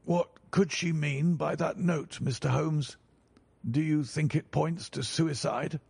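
Casting: man doing a frantic, grieving old banker, pleading and raised, gravelly edge. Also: on the high side, steady tone, slow pace, a little mellow, dry recording, heavily acted. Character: distraught elderly male banker, frantic and pleading.

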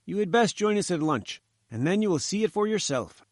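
A man's voice: high-pitched, very animated, fairly quick, no echo, clean studio sound, voice pushed up. Man playing a gloomy, anxious head engineer. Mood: matter-of-fact, weary. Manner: gloomy, worried. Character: long, thin, melancholy, anxious male head engineer.

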